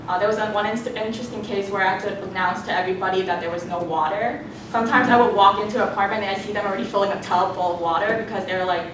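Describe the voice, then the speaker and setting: A young woman whose first language is Cantonese, giving a student presentation in a conversational way with fillers and restarts. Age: young adult. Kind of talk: presentation talk. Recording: echoey hall, voice from a distance, background rumble.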